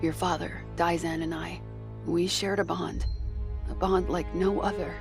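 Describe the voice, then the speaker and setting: A woman's voice: fairly low pitch, little pitch movement, unhurried, vocal fry. A woman playing a wounded, proud and tender motherly fighter in an anime audio drama. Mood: solemn, resolute. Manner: solemn, emotional delivery. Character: wounded, tough, motherly squad commander's comrade, proud and tender. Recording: music under the voice.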